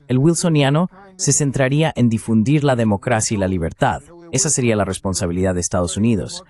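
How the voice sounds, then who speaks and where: A man's voice: lowish voice, darkish tone, natural voice. A man giving a translated voice-over of an interview guest's political analysis.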